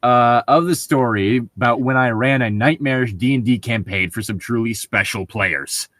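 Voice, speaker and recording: A man's voice: mid-range voice, moderate pace, a bit bright, no room sound. A man co-hosting a podcast, chatting casually and reading stories aloud. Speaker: podcast co-host.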